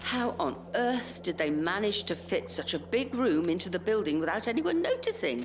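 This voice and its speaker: An actress playing a suspicious, excitable elderly care home resident in an audio drama. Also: female voice, medium pitch, normal speed, background noise, natural voice. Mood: horrified. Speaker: voice actor.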